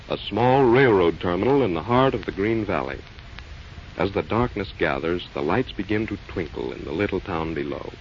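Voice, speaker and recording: A man narrates in a formal old-radio style. His voice is somewhat low with animated intonation. The recording is dull with faint noise. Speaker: announcer and narrator.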